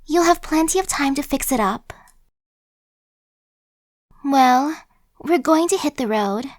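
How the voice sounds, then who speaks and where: A woman's voice: high voice, animated, slow pace, dry recording, clean studio sound. A woman playing a chatty, sly young female zombie in an ASMR roleplay, talking in a slangy, cajoling, buddy-like way. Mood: sheepish, relieved. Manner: casual slangy wheedling, buddy-like.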